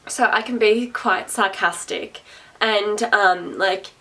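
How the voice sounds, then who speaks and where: A young adult woman talks casually to camera in a loud, projecting voice. She is sarcastic and chatty, repeats herself and laughs often. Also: medium pitch, steady tone, slow. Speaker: vlogger. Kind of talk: rambling casual talk to camera. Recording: voice a little distant from the mic.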